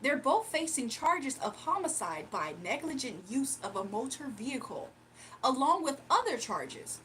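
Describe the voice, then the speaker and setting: A woman putting on the voice of a stiff female TV news anchor reading a teleprompter, in a slow, measured cadence. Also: high voice, sing-song, a bit bright, slight background noise. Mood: formal.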